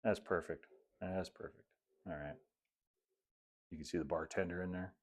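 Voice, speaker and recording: A man, narrating a hands-on hobby tutorial in a relaxed, folksy way, as if thinking aloud while he works. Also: low-pitched, moderate pace. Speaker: hobbyist presenter of model railroad build videos.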